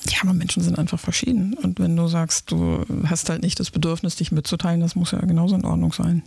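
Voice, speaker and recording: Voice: medium pitch, fairly even intonation, fast talker, creaky, a little mellow, breathy edge, no echo, clean studio sound. A podcast host interviewing a guest in a casual conversation, asking curious, probing questions. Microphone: podcast microphone.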